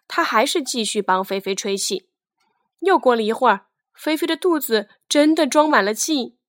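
A woman with a fairly high voice tells a fairy tale for children. Her reading is expressive and scripted, and the recording is close and dry. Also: no room sound. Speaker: children's storyteller.

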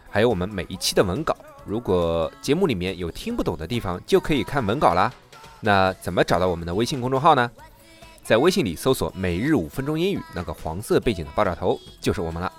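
A man giving a brisk, upbeat promotional announcement for a show's social media. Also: lowish voice. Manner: brisk and upbeat, reading out instructions. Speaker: show promoter.

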